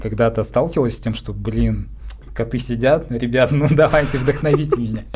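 A man's voice: medium pitch, steady tone, brisk, background noise. A man interviewing someone in a casual, conversational way, feeling out his questions informally as he speaks.

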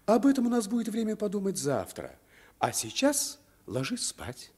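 An actor playing a brave, kindly, amused swordsman in a 1950s radio play, hearty and gently ironic. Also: high voice, wildly swooping pitch, moderate pace, breathy edge, exaggerated voice. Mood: hearty, protective. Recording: vintage 1950s radio recording.

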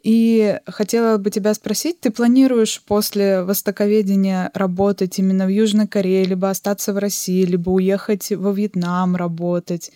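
A young woman from Yakutia hosts a casual podcast chat with a friend. She talks freely and strings her questions into long, rambling turns. Her voice sits at a mid pitch, recorded close and dry. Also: level tone, moderate pace, hardly any pauses, dark tone, no room sound. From Yakutia, Russia. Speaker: podcast host.

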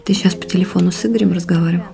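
Mellow, low-voiced supportive wife. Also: female voice, steady tone, racing, vocal fry. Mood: curious, gentle. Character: supportive, practical, gently teasing wife. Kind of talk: intimate conversational audio drama.

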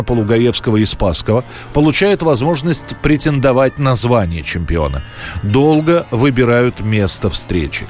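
Man, documentary narrator, history programme, scripted delivery, mid-pitched voice, light music behind. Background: faint background music.

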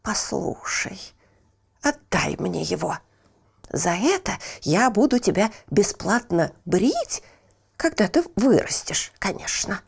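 A woman's voice: mid-range voice, big pitch swings, slow pace, creaky, breathy edge, exaggerated voice. A woman voicing a sly, boastful male barber and informer in a children's fairy tale, wheedling and blustering. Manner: wheedling with customers, blustering when crossed.

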